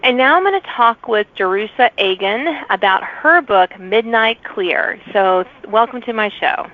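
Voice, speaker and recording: A woman's voice: fairly high pitch, expressive, unhurried, soft background music. A woman hosting a casual author interview in a chatty, agreeable manner. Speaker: podcast host. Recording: phone-like radio-sounding line.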